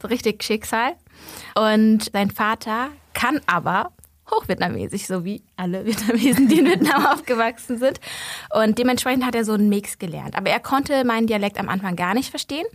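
A woman in her thirties, a podcast guest, telling lively stories in a casual chat and laughing often. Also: fairly high pitch, a little fast, dry recording. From Germany, Berlin.

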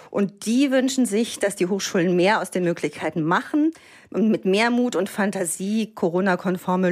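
A woman journalist answering interview questions about universities in an explanatory way, often pausing with fillers. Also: mid-range voice. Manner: explanatory, uses hesitation fillers. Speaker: education journalist.

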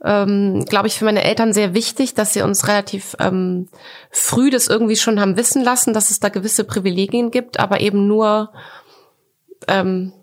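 A woman in her forties from Coburg, a guest speaking in a relaxed interview, thoughtful and hesitant, with many fillers. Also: mid-range voice, normal speed. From Germany, Coburg. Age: 40s. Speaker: podcast guest, art collector.

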